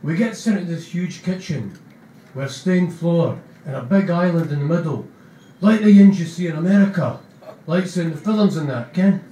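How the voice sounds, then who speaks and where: Guy doing gentle scottish junkie kid telling his story, broad slangy, kinda far off mic, echoey room. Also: a little high, lively intonation, moderate pace, natural voice. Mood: wry. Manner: broad dialect storytelling, rambling. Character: gentle young male Scottish junkie narrator. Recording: roomy, distant mic.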